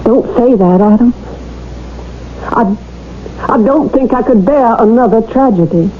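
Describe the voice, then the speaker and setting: An actress playing a devoted wife in a radio drama, affectionate and coaxing but insistent. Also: female voice, on the high side, a little slow, lightly acted. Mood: distressed. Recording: muffled, noisy old radio broadcast.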